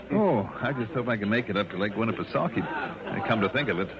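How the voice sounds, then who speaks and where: An American actor playing a breezy, wisecracking insurance investigator in early 1960s radio drama, wry and casual. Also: male voice, on the low side, lively intonation, lo-fi sound, over a noisy crowd. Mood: breezy, bantering. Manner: wry, brisk, casual banter. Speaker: lead actor and narrator of a radio detective series. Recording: band-limited vintage radio recording, music behind.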